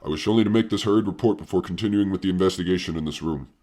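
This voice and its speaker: An actor as very deep-voiced, solemn psychic investigator. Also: male voice, racing, mellow, close to an everyday voice. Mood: intent, grave. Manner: formal, solemn, precise, pompous. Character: grave, self-important male doctor investigating psychic phenomena. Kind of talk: audio horror drama, formal field report.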